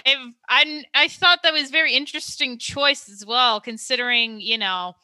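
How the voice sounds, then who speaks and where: A young adult woman talking casually as a podcast guest, often pausing with ums and restarting her sentences. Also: high voice, pushed and bright, no room sound, poor audio. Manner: hesitant, many ums and restarts.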